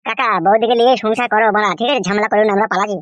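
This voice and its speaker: A man's voice: high-pitched, fairly even intonation, very fast, no echo, lo-fi sound, natural voice. A man voicing a defiant young nephew in a comic cartoon, crude and argumentative. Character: defiant, whiny young nephew with runaway wife.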